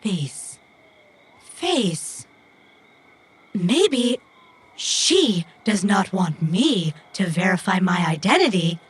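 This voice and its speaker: An earnest, literal-minded young female runner from a cyberpunk audio drama. She speaks slowly and a little huskily, in stilted, formal sentences without contractions. There is a childlike stubbornness in her careful, precise wording. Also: on the low side, very animated, slightly rough, full character voice. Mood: stubborn, puzzled.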